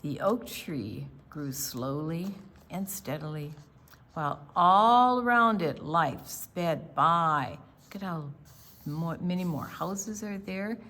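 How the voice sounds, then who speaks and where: A woman reading a picture book aloud to young children as a storytime reader, with deliberate, expressive delivery and casual asides about the pictures. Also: lowish voice.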